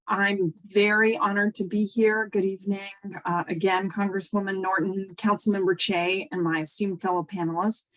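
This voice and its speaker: A woman's voice: medium pitch, fairly bright tone, low-quality recording. A woman official delivering prepared remarks over a video call. She sounds a little sniffly from a cold. Her tone is eager and upbeat, with frequent uh and um fillers. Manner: earnest, upbeat, fills pauses with uh and um. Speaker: transportation agency official. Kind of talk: prepared remarks at a virtual town hall.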